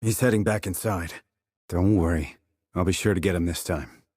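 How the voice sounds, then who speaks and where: An actor playing a terse, dry, confident military sniper in a video game, with a husky voice and clipped, controlled reports. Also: male voice, low voice, normal speed, darkish tone, no echo. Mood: tense focus. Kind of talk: scripted military game dialogue.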